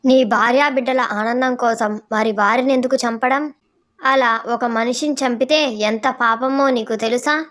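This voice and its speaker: A reproachful ghost demon, voiced by a woman in a moral-story cartoon. The voice is very high and preachy, scolding like a stern moral teacher. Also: steady tone, a little slow, fairly bright tone.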